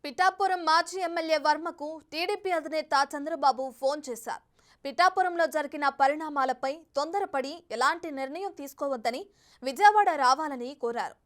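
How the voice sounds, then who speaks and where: A woman with a high-pitched voice works as a TV news anchor. She delivers bulletins and live questions in a broadcast news register. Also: moderate pace, a bit bright.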